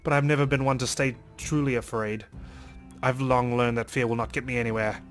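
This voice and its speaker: A man's voice: mid-range voice, steady tone, brisk, faint music behind. A man reading a visual novel aloud as a let's play host, in dramatic, theatrical first-person narration.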